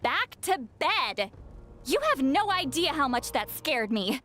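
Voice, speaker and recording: High, swooping, huffy young shaman woman. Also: slow, soft background music, exaggerated voice. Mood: huffy, sleepy. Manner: expressive, huffy, sighing. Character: proud, dramatic, easily flustered young female shaman. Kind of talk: scripted video game dialogue acting.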